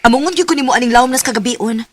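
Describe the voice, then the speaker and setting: A woman plays a young best friend in a Cebuano radio drama, blunt but caring. Her voice is high and breathy. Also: very fast, no room sound, lightly acted. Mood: urging. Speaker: supporting part in a radio drama.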